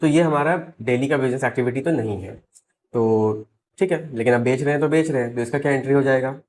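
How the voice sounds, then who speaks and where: An Indian man gives a lesson in a medium-pitched, slightly hoarse voice. He explains like a teacher, one step at a time, and often poses a question before answering it. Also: quick pace, a little mellow. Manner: step-by-step, checks in with rhetorical questions. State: slightly hoarse, sore throat. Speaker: accountancy teacher. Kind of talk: explanatory lesson mixing Hindi and English.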